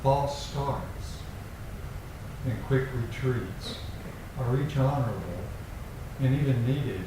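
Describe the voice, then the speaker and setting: A man reads a poem slowly and deliberately, pausing between short phrases in a measured meditation-group style. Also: medium pitch, very echoey, far from the mic. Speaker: poetry reader at a meditation group. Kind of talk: slow, measured poem reading. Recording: clearly noisy room recording.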